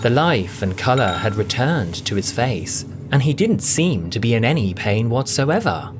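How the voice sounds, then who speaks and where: A man narrating a scripted audio drama as a theatrical storyteller, with deliberate emphasis. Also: medium pitch, big pitch swings, noisy background. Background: music.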